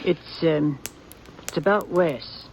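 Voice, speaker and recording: An actress playing a stern elderly frontier grandmother in a 1950s radio drama, speaking plain rural talk in a grim, steady way over faint hiss. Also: deep voice, takes it slowly, creaky, full character voice. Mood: somber. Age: older. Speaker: grandmother of a young gunman.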